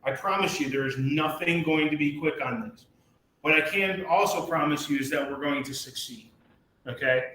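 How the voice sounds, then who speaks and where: A man's voice: a little high, normal speed, sharp tone, a little hiss or hum. Emphatic man on an echoey video call. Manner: emphatic, keeps tagging sentences with "okay?", swears freely. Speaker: company leader hosting a live community call. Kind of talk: hyped live-stream pep talk. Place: large office meeting room. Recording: echoey room.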